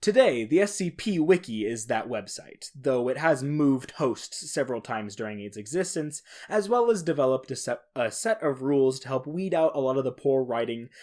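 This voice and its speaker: Lively, expressive man podcasting casually. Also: medium pitch, moderate pace, few pauses. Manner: informal, conversational, with occasional swearing. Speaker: podcast host. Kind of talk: casual solo explainer on horror fiction.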